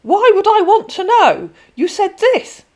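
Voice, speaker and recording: A woman's voice: extremely high pitch, huge pitch swings, a little slow, dark tone, pitched above the performer's own voice, heavily acted. A woman voicing a male online romance scammer who speaks in stilted phrases, pours on syrupy darlings and turns pushy. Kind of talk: read-aloud storytelling.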